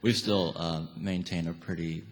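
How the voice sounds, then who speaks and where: A man speaking as a musician in a live stage interview, casual and halting, with dry humour. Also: sits low, steady tone. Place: on a theatre stage. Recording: echoey theatre hall, noisy.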